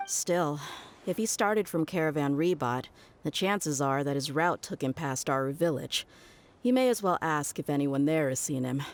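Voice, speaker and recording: A woman's voice: sits low, expressive, moderate pace. A woman playing a confident, easygoing desert mercenary in a video game, teasing and informal, with music behind her.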